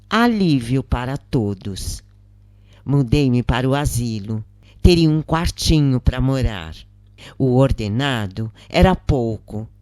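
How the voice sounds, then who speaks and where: A Brazilian woman reads a story in a deep, slow voice. Her tone is dark and soft-edged, and she leaves deliberate pauses between phrases, reflective and measured as in an audiobook. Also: no room sound, clean studio sound. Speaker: narrator reading a first-person spiritual account. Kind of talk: scripted narration, audiobook storytelling.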